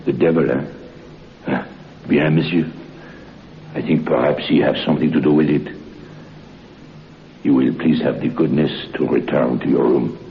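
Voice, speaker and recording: An actor playing a solemn, protective French hotel head waiter in a 1960s radio horror play, with a French accent and halting, formal, broken English. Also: male voice, rumbling low, steady tone, vocal fry. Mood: firm, polite. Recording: dull, noisy old radio recording.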